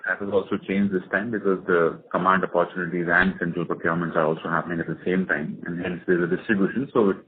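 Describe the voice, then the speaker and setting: A man's voice: low-pitched, fairly even intonation, fast talker, hardly any pauses. A man with an Indian accent answering analysts as a company executive on an earnings call. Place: conference call. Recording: noisy, narrow conference phone line.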